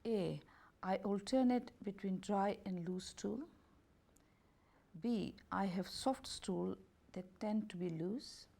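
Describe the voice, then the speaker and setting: A woman therapist reading out a self-assessment questionnaire, going through each option as A, B and C at an unhurried pace, with slightly non-native grammar. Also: medium pitch, vocal fry, mellow. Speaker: holistic health and beauty therapist.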